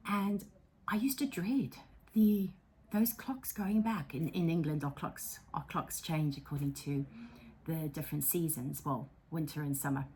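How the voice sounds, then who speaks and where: Middle-aged South African woman host, slow and low-pitched, breathy, chatty and self-deprecating. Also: slight background noise. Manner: chatty, self-deprecating, frequent fillers and soft whispery asides. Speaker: psychotherapist and channel host. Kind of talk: casual talking-to-camera vlog. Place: her counselling room.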